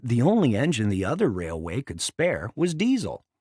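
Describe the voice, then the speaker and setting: Very animated male storybook narrator. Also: mid-range voice, normal speed, husky, no echo, clean studio sound. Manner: dramatic, expressive. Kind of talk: scripted children's read-along narration.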